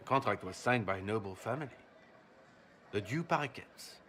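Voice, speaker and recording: A man playing a suave, courteous nobleman with a secret sinister side in a video game, speaking in a ceremonious, coolly measured way. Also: on the low side, lively intonation, fairly bright tone, a little hiss or hum. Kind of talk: dramatic video game cutscene acting.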